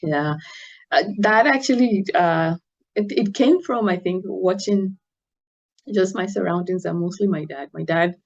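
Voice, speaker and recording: A middle-aged woman from Nigeria, a guest talking about her life in an interview, speaking in a thoughtful, measured way with many fillers. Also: lowish voice, highs cut off. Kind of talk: interview conversation.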